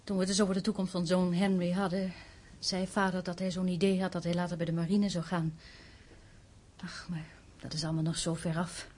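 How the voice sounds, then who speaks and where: An actress in a radio drama playing a gentle, proud young widowed mother with a polite, reserved manner. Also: fairly low pitch, little pitch movement, a little fast, natural voice. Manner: soft-spoken with her child, politely reserved.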